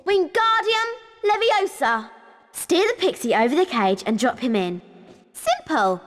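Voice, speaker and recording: Keen, high-voiced schoolgirl witch. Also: big pitch swings, unhurried, long reverb tail. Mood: brisk, instructive. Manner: earnest, instructive, admiring. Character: keen, bossy, bright young schoolgirl witch. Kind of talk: video game character acting.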